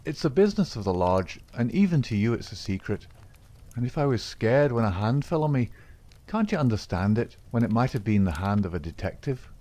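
A man reading aloud, voicing a smooth-talking Irishman in a secret society who reassures his sweetheart. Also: medium pitch, big pitch swings, a little fast. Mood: coaxing. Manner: coaxing, reassuring, soft-spoken.

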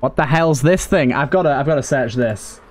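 A man's voice: medium pitch, normal speed. A man giving casual, informal let's play commentary, thinking aloud with some mild swearing. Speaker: gaming video host.

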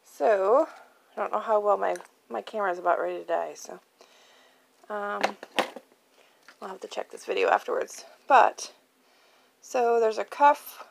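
A woman's voice: mid-range voice, measured pace, gravelly, long pauses, a little hiss or hum. A woman hosting a casual, chatty show-and-tell in an informal, tentative way, thinking aloud as she goes. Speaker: craft channel host previewing auction items. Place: indoors at home, at a card table. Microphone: handheld phone.

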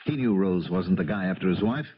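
An actor playing a shrewd, calm police lieutenant, measured and authoritative, in a 1970s radio drama. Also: male voice, sits low, breathy edge, soft background music. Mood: businesslike. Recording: muffled 1970s radio broadcast.

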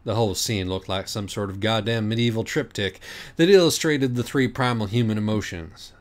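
A low-voiced man narrates comic fiction from a script. His delivery is wry and profane, that of an audiobook storyteller. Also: normal speed.

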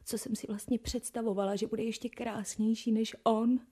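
A breathy, fairly high voice gives life to a frightened young noble bride, as a woman plays her in a radio drama. She swings between loud, pleading outbursts and soft, whispered brooding. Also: level tone, natural voice. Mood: distraught.

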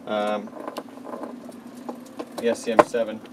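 A man in his thirties gives an informal technical explanation as a designer, matter-of-fact with hesitant pauses. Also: on the low side, monotone. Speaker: loudspeaker transducer designer. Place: small indoor workshop test room. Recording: loud background hum and workshop noise.

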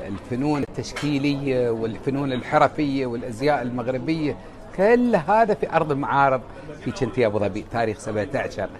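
A man in his forties with an Emirati Arabic accent, chatting casually into a phone on an earphone mic in a hotel room, animated and emphatic. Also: medium pitch. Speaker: social media vlogger. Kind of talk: casual selfie vlog chat. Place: indoors by a window, hotel room. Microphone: wired earphone mic, phone at arm's length.

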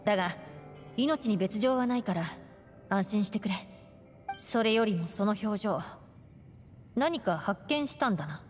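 An actress playing a calm, tough young woman ninja in an anime-style game, with blunt, clipped phrasing. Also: medium pitch, measured pace, long pauses, soft background music, natural voice. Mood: calm, serious.

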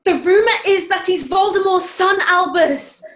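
A woman's voice: very high-pitched, moderate pace, reverberant. A young British woman playing a bossy, blunt schoolgirl witch in a theatrical table read. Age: young adult. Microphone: video call.

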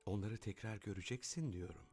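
Man voicing a deep-voiced dignified kind father. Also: brisk, darkish tone, soft background music, natural voice. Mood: gentle. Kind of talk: Turkish-dubbed children's cartoon acting.

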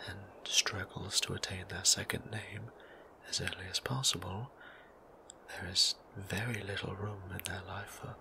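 A man playing a shy, devout vampire priest in an ASMR roleplay, speaking in a hesitant, gently formal, breathy whisper. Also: low voice, noisy background.

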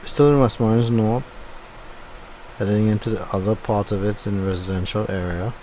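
A man with a Trinidadian accent giving a low-key, unenthusiastic running commentary while driving. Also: steady tone, brisk, mellow, a little hiss or hum, poor audio. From Trinidad and Tobago. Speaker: driving vlogger. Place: inside a moving car.

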